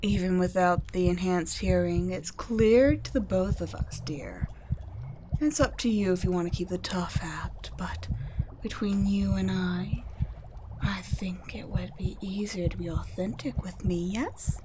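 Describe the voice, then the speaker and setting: Slow teasing vampire lady scientist, a woman acting her out, patronizing, whispers bits, faint hum behind. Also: on the low side, close to an everyday voice. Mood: mocking. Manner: teasing, patronizing, soft-spoken with whispered asides. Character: cool condescending female vampire scientist captor. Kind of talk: ASMR audio roleplay.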